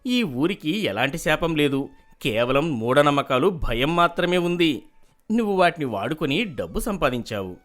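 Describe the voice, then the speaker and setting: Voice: medium pitch, expressive, normal speed, fairly bright tone, natural voice. A voice actor playing a stern, righteous village headman who scolds and lectures firmly in a cartoon story. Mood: scolding. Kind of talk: animated cartoon voice acting.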